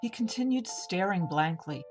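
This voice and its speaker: A woman's voice: medium pitch, lively intonation, normal speed, darkish tone. A woman reading scripted fantasy fiction as the narrator of a story. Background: plucked string music, guitar.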